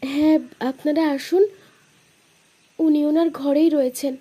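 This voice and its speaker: A woman playing an attentive, soft-spoken woman of the household in an audio detective drama. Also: sits high, fairly even intonation, takes it slowly. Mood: polite, calling.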